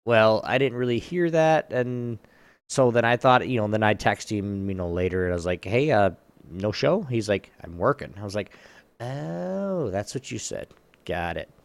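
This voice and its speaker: A man's voice: fairly low pitch, animated, normal speed, vocal fry. A man chatting casually as a podcast co-host, rambling and blunt, full of slang. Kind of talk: casual chat. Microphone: online voice chat mic.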